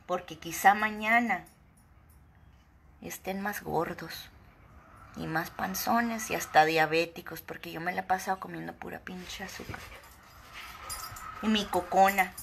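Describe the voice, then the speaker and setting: A woman in her forties from Ciudad Juárez with a northern Mexican accent, ranting sarcastically into a phone camera at arm's length indoors, shifting between loud outbursts and low asides. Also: fairly even intonation, measured pace, creaky, pauses often, slight background noise. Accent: northern Mexican Spanish. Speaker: vlogger. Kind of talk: casual rant to camera. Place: indoor room with wooden shelves.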